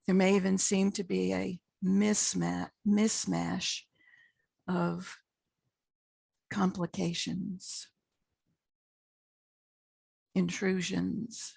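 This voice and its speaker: Female meditation guide: very slow, measured delivery with long pauses, heavy vocal fry. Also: level tone, a little mellow, no echo. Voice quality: husky, gravelly low voice. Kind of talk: guided meditation, unscripted spiritual talk.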